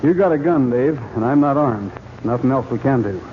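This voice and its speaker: Man as calm cowboy hero, American accent, easygoing plain talk, muffled noisy vintage radio drama. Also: medium pitch, a little fast, lightly acted. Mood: steady, cautious. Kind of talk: 1940s radio western acting.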